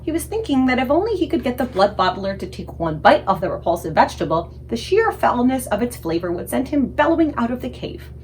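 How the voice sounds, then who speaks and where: A woman narrating a children's storybook aloud in an expressive, scripted read-aloud style. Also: on the high side, fairly quick, hardly any pauses, a little hiss or hum.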